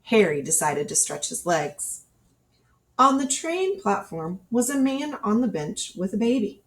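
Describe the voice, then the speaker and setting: A woman reading a children's picture book aloud in an expressive storytelling style, acting out the dialogue as she goes. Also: mid-range voice, measured pace. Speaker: storybook reader. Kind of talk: scripted children's storybook narration read aloud.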